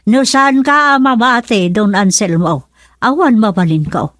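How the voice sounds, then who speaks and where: A woman's voice: fairly high pitch, lively intonation, measured pace, a bit bright, dry recording, studio quality. An actress with a Filipino Ilocano accent playing a poor, earnest older mother who pleads insistently for her child in a radio drama. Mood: pleading, defensive.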